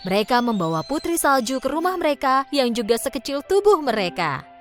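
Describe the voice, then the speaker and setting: Casting: woman storyteller for children's cartoons, expressive, animated, higher-pitched, over soft music. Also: brisk. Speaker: narrator. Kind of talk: scripted children's story narration.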